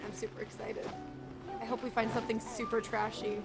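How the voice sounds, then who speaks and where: A woman's voice: on the high side, flat delivery, fairly quick, low-quality recording. A woman giving casual live commentary as she plays through a video game as a streamer. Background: game music.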